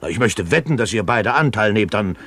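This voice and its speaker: A man's voice: lowish voice, expressive, a little fast, a bit bright, husky. An actor playing a jovial, sly middle-aged one-legged ship's cook with folksy, wheedling seaman's patter in a radio play. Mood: chummy, teasing. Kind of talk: 1970s German radio play acting.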